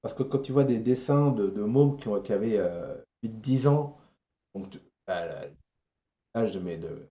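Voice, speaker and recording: A man's voice: on the low side, moderate pace, mellow, highs cut off. A man hosting a casual live chat show. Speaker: show host. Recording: echoey room sound.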